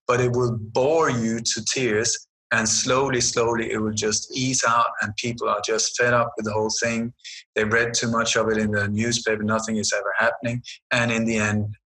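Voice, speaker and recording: A man's voice: mid-range voice, pushed and bright, low-quality recording. A middle-aged man from Sweden, answering interview questions in an emphatic, sarcastic storytelling manner. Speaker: guest researcher.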